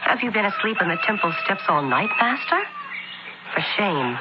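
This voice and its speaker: A woman's voice: medium pitch, big pitch swings, normal speed, lightly acted. An actress playing a bold, teasing young Roman noblewoman in a radio drama, pert but formal. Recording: muffled, very noisy radio broadcast.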